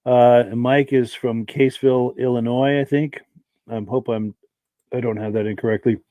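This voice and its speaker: A man's voice: mid-range voice, steady tone, moderate pace, warm tone, dry recording. Man with a dry throat, hosting a podcast. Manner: friendly, thanks each viewer by name. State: dry throat, losing his voice. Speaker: podcast host reading viewer comments. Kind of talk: live-stream chat.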